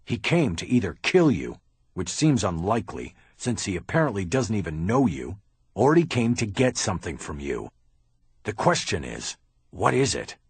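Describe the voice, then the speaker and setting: A man voicing a gruff, blunt police detective. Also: fairly low pitch, big pitch swings, normal speed, dry recording, full character voice. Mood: controlled. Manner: blunt, curses, barks orders. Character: gruff tough middle-aged male police detective. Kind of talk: audiobook character reading.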